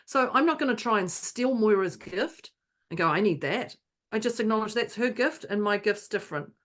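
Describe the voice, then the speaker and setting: Animated woman telling stories on a podcast. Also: mid-range voice, moderate pace. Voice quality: not calming, by her own account. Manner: animated anecdotes, many restarts, acts out others' lines. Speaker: podcast co-host. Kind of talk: casual podcast chat, storytelling.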